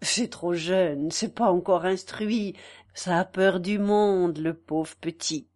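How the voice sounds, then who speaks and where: A woman voicing a warm, humble young peasant mother with gentle, plain country speech. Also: on the high side, lively intonation, unhurried.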